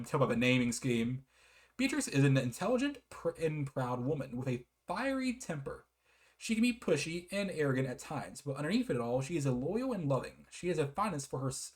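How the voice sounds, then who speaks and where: A man giving a casual, rambling game review in a hesitant, apologetic manner with frequent giggles. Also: medium pitch.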